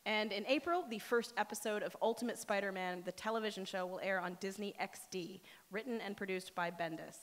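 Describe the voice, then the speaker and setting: A woman works as a live radio host, presenting and interviewing from a stage. Her voice sits at a middle pitch and carries the long reverb of a hall. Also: a little fast, hardly any pauses.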